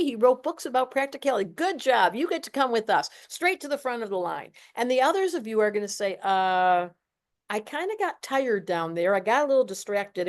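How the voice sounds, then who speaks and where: A woman hosting a podcast, talking in a playful, animated way and acting out little voices as she tells her stories. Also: a little high. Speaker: podcast host, astrologer. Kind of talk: casual podcast conversation.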